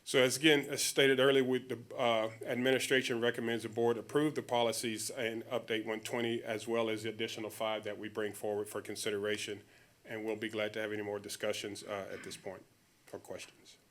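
A man's voice: on the low side, flat delivery, fairly quick, fairly bright tone. Man giving a formal administrative briefing. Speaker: school district administrator. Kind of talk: formal presentation to a board. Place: public board meeting room.

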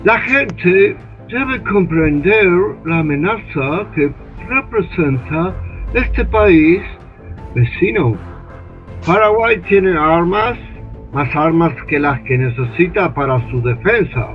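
A scheming, manipulative ambassador, voiced by an older man, who talks in a high, drawn-out, persuasive way. It sounds like a radio play heard down a tinny phone line with faint music underneath. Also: animated. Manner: slow, insinuating, persuasive. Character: scheming male British ambassador, smooth and manipulative. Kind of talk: amateur radio drama acting over video call. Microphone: computer webcam mic on a video call.

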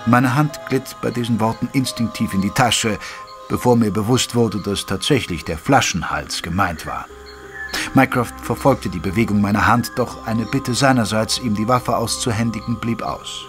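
A man playing an earnest gentleman companion who narrates his adventure in an audio drama, in measured literary storytelling. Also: lowish voice, natural voice. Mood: observant. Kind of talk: scripted first-person audio drama narration. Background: music.

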